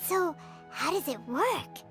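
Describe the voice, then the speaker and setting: A woman voicing a small, childlike, food-loving fairy companion in a video game, squeaky and cheeky. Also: expressive, takes it slowly, a bit bright, exaggerated voice. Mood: curious, skeptical. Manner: refers to herself in the third person, exclamatory. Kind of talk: video game character acting. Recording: music behind the voice.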